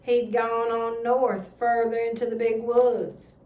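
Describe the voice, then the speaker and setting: Plainspoken farmer uncle read by a woman, very slow, folksy, matter-of-fact storybook tale, echoey room. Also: on the high side, level tone, dark tone. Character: plainspoken farmer uncle recounting a panther hunt. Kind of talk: children's storybook read-aloud. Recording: echoey room with background noise.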